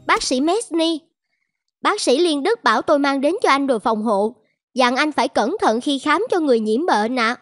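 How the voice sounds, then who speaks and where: A polite female hospital staffer from a cartoon. Her voice is high and her phrasing formal and measured, with soft music underneath. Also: animated, no room sound, studio quality.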